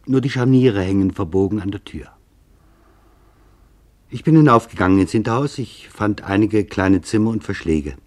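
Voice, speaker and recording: Male narrator for a literary radio documentary, lower register, measured and serious, pausing deliberately.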